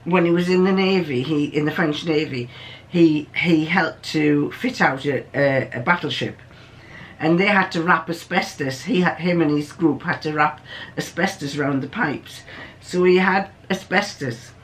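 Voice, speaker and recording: An older person hosts in a deep voice. The talk is casual and rambling, drifting off into asides and coming back in a plain, matter-of-fact way. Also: normal speed, low-quality recording. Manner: chatty, digressive, matter-of-fact. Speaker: video host.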